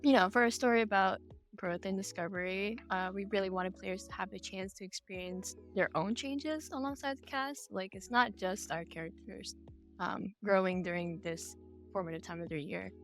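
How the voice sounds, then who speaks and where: A woman's voice: medium pitch, moderate pace, faint music behind. A woman explaining her work as an interview guest. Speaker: interview guest, game project lead.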